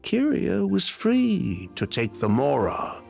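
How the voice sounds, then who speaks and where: A man playing a defensive, bitter schemer in a dramatic video game scene, with a gravelly voice. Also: a little high, wildly swooping pitch, slow, darkish tone, soft background music, full character voice. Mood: explaining grimly. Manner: defiant, dramatic. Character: defensive bitter male schemer, self-confessed mastermind.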